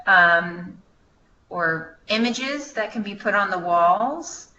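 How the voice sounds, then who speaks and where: A woman with a bright, slightly low voice explains things on a conference call, thinking aloud as she goes. The line is dull, with faint noise behind her. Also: animated, slow. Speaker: Peace Corps staff member answering questions. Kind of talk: informal question-and-answer on a conference call.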